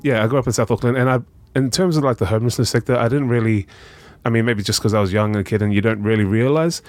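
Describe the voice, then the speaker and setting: A young man with a New Zealand accent, talking as a podcast guest in a relaxed, rambling way full of fillers. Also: mid-range voice, fast, no room sound. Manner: relaxed, rambling, lots of "you know" and "um", says "bro". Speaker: guest, charity founder. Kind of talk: casual podcast conversation.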